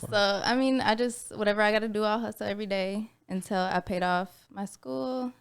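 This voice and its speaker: A young woman talking softly and easily as a podcast guest, telling her story in a laid-back way. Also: medium pitch, steady tone, fairly quick, vocal fry, smooth. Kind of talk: casual conversation.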